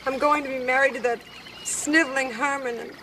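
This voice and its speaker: A woman's voice: high voice, steady tone, brisk, sharp tone. A woman playing a tiny young fairy-tale heroine, polite and earnest, speaking in theatrical exclamations on a noisy soundtrack. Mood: apologetic, sorrowful. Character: tiny pretty young girl, polite, plucky, distressed heroine.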